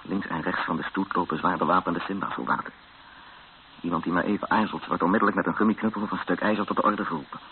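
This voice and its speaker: An actor playing a grave captive who narrates his ordeal in a radio drama in a measured way. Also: sits low, steady tone, lightly acted. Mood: grave, tense. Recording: muffled lo-fi radio recording with hiss.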